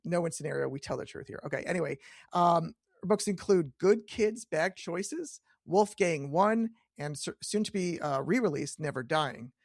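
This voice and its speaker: A man's voice: fairly high pitch, animated, normal speed, creaky, no echo, clean studio sound. A man hosting a chatty interview podcast, joking and self-deprecating as he trips over his words and corrects himself. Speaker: podcast host.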